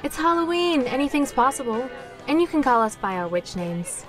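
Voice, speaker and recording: Self-assured young witch, played by a woman, fairly high voice, reassuring, music behind, audio drama. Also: sing-song, moderate pace.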